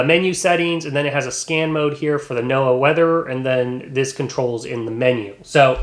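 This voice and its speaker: A man giving a casual, unscripted product review, explaining features in a hesitant, halting way. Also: medium pitch. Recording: echoey, roomy sound.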